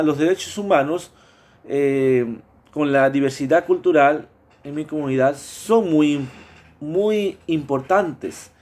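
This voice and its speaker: A man's voice: medium pitch, a little mellow. Hesitant Mexican man presenting a project. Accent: Mexican Spanish. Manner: hesitant, many fillers and restarts. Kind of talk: spoken class project presentation.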